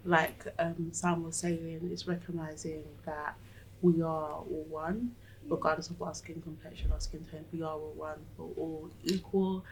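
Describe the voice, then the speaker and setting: Woman school support worker, monotone, earnest. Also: low-pitched, slight background noise, rough recording. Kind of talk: panel discussion.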